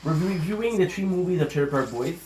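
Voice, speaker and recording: A man's voice: a little high, lively intonation, fast, a little mellow, a little hiss or hum, rough recording. Rambling male vlogger. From Montreal, Canada. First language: French. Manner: rambling, stammering restarts, swears casually. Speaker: channel host. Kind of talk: casual rambling vlog chat.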